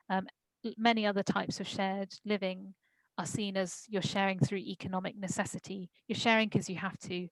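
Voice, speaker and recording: A woman speaking at a conference, answering questions in a thoughtful, measured way with frequent pauses and ums. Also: mid-range voice, vocal fry, no echo, poor audio. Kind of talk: Q&A discussion.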